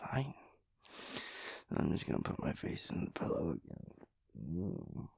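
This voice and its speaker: A man's voice: extremely low pitch, wildly swooping pitch, unhurried, creaky, dark tone, slightly rough, no room sound, whispered, heavily acted. A man playing a sleepy, playful boyfriend in an intimate audio roleplay, stammering and hesitant, with mock-serious rambling. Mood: playful, stubborn. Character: sleepy, playful, chaotic boyfriend refusing to get up.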